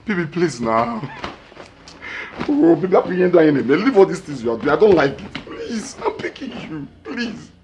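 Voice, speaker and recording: A husband, played by a man, pleading and begging softly over and over, his lively voice rising and falling. Steady noise sits behind him. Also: on the low side, fairly quick, hardly any pauses. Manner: begging, repetitive. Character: pleading husband caught between mother and wife.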